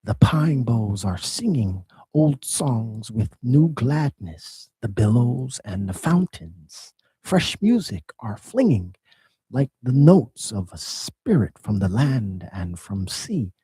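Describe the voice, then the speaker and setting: A man reading the part of unseen spirits who greet the dawn, lyrical and husky. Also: medium pitch, sing-song, slow pace, dark tone, no echo, heavily acted. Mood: rapturous.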